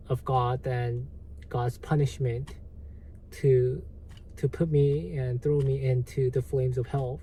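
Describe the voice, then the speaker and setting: A man with a Korean accent, a native Korean speaker, hosting a livestream and answering viewer comments in a halting, hesitant way with lots of fillers. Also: medium pitch, warm tone. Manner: slow, halting, many fillers and restarts. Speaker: livestream host.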